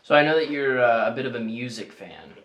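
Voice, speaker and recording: A man interviewing a guest in a relaxed, dry way during a food challenge. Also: mid-range voice, fairly quick, reverberant, highs cut off. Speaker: radio interviewer. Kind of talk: casual interview.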